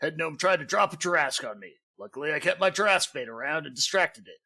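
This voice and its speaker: A man's voice: fairly high pitch, expressive, fast, pushed and bright, heavily acted. A man playing a cranky, boastful old gnome ranting combatively in a comedic audio drama. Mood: cranky bragging.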